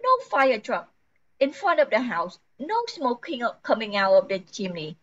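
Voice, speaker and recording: A woman's voice: fairly high pitch, very animated, moderate pace, highs cut off. An older woman playing a frantic, forgetful housewife who pleads anxiously, in an amateur radio play. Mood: panicked.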